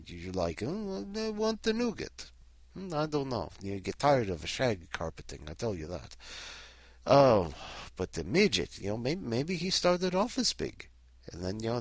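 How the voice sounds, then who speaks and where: A man's voice: fairly low pitch, dry recording. A man playing a comic three-hundred-year-old Count Dracula with a mock Eastern European accent, hesitant and digressive as he hosts. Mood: complaining, joking. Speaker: host of a weekly story challenge. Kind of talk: comic ad-libbed monologue.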